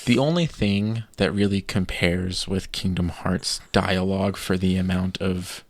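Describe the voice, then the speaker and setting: A man co-hosting a podcast in casual chat, giving opinions with halting restarts. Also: low voice, steady tone, dry recording. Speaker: podcast co-host.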